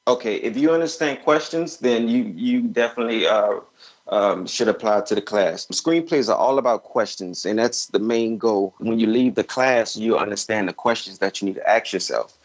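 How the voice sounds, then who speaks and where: A man speaking as an interview guest over a dull lo-fi call, explaining casually and haltingly, often repeating words. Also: fairly low pitch, dry recording. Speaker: interview guest, screenwriting teacher. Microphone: remote call.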